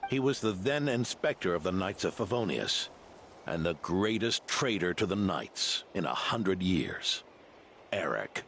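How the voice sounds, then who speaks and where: A very low, creaky old knight in a fantasy game. He speaks in a measured, dignified way with expressive rises and falls, reflective and genial. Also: slight background noise, heavily acted. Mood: grave. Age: older. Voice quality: gravelly. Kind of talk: video game character acting.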